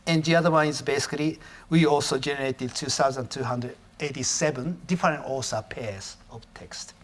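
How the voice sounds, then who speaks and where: A man whose first language is Japanese gives an academic lecture as a professor, often halting and correcting his own phrasing. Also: medium pitch.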